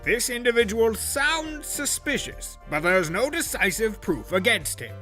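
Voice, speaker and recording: A man playing a genial, muddled judge in an audio drama, with a pompous, deliberate manner. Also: very high-pitched, very animated, pushed and bright, soft background music, exaggerated voice. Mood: pompous, curious.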